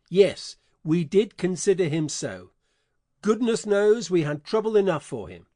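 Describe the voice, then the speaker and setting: A man playing a scheming adult con man, speaking in a gruff, folksy, bullying way in an audiobook dramatization. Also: sits high, a little mellow, no echo, studio quality. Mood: grumbling.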